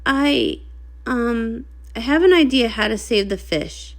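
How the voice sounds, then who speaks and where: A woman voicing a small, clumsy, eager young male unicorn in a storybook, excitable and earnest. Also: on the high side, slow pace, full character voice. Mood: earnest, insistent.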